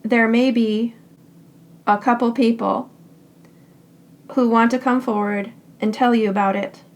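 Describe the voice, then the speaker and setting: Raspy, hoarse woman reading tarot. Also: on the high side. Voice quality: raspy, scratchy throat. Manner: calm and reassuring, speaks in short unhurried phrases. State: hoarse, getting over a cold. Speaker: tarot card reader. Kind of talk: pick-a-card tarot reading.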